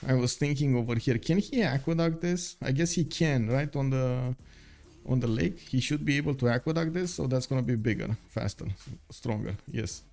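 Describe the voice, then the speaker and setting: A man's voice: medium pitch, moderate pace, vocal fry, darkish tone. A young man whose first language is Romanian, commentating a strategy game live, with lots of fillers and upbeat chat with viewers. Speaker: game caster.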